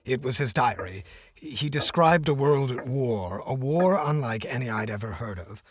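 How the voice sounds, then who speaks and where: An actor playing an earnest, obsessed scientist in an audio drama, speaking with intense, deliberate formality. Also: male voice, medium pitch, animated, a crowd in the background, close to an everyday voice. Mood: solemn, insistent. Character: earnest, obsessed male scientist stranded in another dimension.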